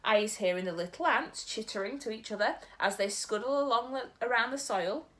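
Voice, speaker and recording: A woman's voice: on the high side, a little fast, fairly bright tone, lightly acted. A woman voicing a kindly, earnest big friendly giant who talks in quirky broken grammar.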